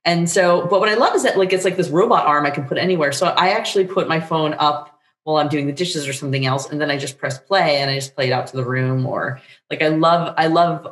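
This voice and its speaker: A middle-aged woman chatting casually as an interview guest, thinking aloud in a rambling, animated way. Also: low-pitched. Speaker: guest playwright. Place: book-lined room at home, indoors. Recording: roomy, echoey video call.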